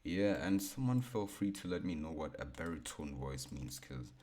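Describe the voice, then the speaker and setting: Deep-voiced laid-back man. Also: sing-song, moderate pace, darkish tone. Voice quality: baritone. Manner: laid-back, repeats himself, thinks aloud. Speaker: forex trading educator. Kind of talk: casual screen-share chart breakdown.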